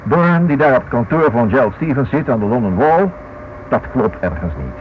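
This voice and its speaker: A man's voice: mid-range voice, big pitch swings, normal speed, natural voice. An actor playing an inquisitive, stubborn married man in a radio play, thinking aloud and repeating himself. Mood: excited reasoning. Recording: noisy, dull old radio recording.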